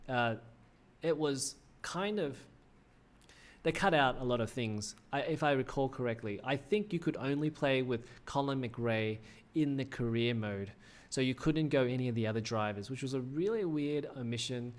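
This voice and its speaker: A man's voice: medium pitch, moderate pace. A man in his thirties hosting a casual gaming livestream, rambling with many fillers and restarts, his voice a little worn. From Australia. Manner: rambling, self-correcting, frequent "uh" fillers. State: slightly losing his voice. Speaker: livestream host.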